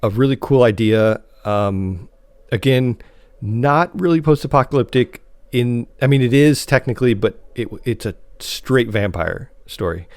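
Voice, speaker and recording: A man's voice: medium pitch, animated, unhurried, darkish tone, no room sound. Casual male podcast co-host, close dry sound. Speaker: podcast co-host reviewing post-apocalyptic media. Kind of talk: casual review chat.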